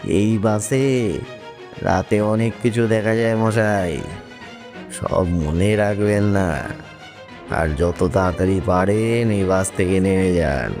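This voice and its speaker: Low-voiced male ghost, put on by a narrator, sinister, polite, menacing, very slow, horror tale, music behind. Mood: quiet warning. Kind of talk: horror audio story character.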